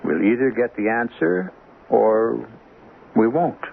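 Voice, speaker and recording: A man hosting an old-time radio mystery, narrating in a wry, deliberate, theatrical way. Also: medium pitch, expressive, vocal fry, phone-quality sound. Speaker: host. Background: music.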